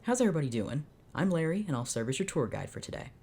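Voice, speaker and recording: A woman reading the lines of a friendly adult male museum tour guide in a quick, cheery way. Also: sits low, sing-song, mellow, husky, full character voice.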